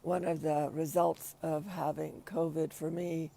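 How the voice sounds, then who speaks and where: Raspy, gravelly, halting woman. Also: sits low, little pitch movement, warm tone, no echo. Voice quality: raspy after covid. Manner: hesitant, halting, many restarts. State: short of breath at times. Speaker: livestream host. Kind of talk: casual spoken reflection.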